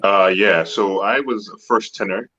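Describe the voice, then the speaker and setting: A man who sings bass, talking in a lively, casual way over a video call. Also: unhurried, highs cut off. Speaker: opera singer, bass. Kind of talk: casual panel chat.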